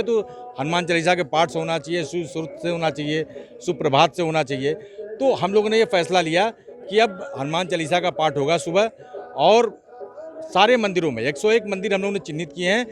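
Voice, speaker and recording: A man answering an interviewer as a local activist, making his case insistently and repeating phrases for emphasis. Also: high voice. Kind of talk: interview answers, argumentative explanation. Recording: clear crowd noise behind.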